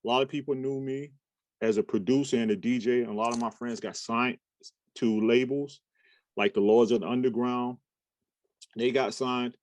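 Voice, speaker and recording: A man in his fifties chats in a loose, meandering way, stopping often to search for words before carrying on. His voice sits at an ordinary pitch for a man. The recording is dry and close, with no room sound. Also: normal speed, no room sound. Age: 50s. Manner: rambling, relaxed storytelling with many fillers and restarts. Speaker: talent manager and guest. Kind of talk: casual interview chat.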